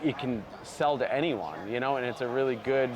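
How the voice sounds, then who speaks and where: A man's voice: mid-range voice, lively intonation, moderate pace, a crowd in the background. A man who founded a barber brand, talking earnestly in a sit-down interview and leaning on lots of "you know" fillers. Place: outdoors at a busy trade convention.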